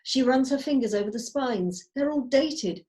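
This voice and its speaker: A woman's voice: sits high, lively intonation, moderate pace, warm tone. A woman reading scripted stage directions as narrator over a video call.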